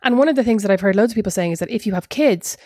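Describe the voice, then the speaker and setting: A woman's voice: medium pitch, rapid-fire, darkish tone, no echo, studio quality. An Irish woman co-hosting a podcast, chatting in a rambling, self-mocking way. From Ireland. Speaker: podcast co-host. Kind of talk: casual chat.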